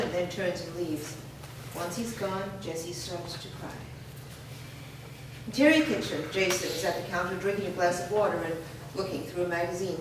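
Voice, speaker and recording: A woman reading stage directions aloud at a staged play reading, in a plain, even voice. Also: lowish voice. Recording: echoey room from far off, noisy.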